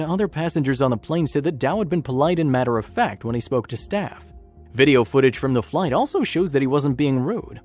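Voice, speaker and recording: A man's voice: mid-range voice, a little mellow. Male story narrator over music. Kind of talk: scripted documentary-style story narration. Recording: music bed under narration. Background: background music.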